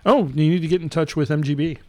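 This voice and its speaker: A man's voice: fairly high pitch, quick pace, a little mellow, dry recording. A man co-hosting a casual podcast chat, playful and joking. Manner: playful, does a mock accent for fun. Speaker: podcast co-host.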